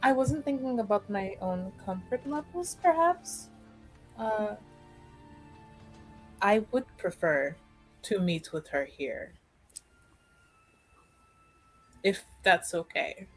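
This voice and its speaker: A woman playing a reserved, thoughtful noble niece in a tabletop fantasy roleplay, with hesitant, deliberate phrasing. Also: medium pitch, animated, soft background music, rough recording. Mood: polite, grateful.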